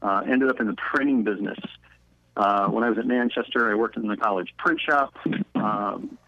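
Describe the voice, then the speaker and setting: A man's voice: fairly low pitch, normal speed, no echo. A man in his forties from northern Indiana with a Midwestern American accent, telling his story in an easygoing way as a guest calling in. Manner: easygoing storytelling, with ums and uhs. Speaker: guest, business consultant. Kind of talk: phone-in interview. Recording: dull dry phone-line audio.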